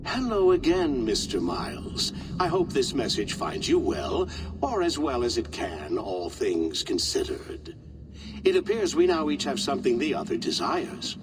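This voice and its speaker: An actor playing a smug, menacing game villain who speaks with measured false courtesy and deliberate pauses. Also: male voice, mid-range voice, big pitch swings, recorded from a distance, music in the background. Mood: smooth menace. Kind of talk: dramatic video game acting.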